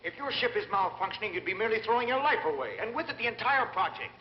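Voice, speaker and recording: An actor playing a stern, commanding general in a dubbed old sci-fi film, urgent and insistent. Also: male voice, sits high, very animated, fast. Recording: echoey, muffled film soundtrack, faint noise.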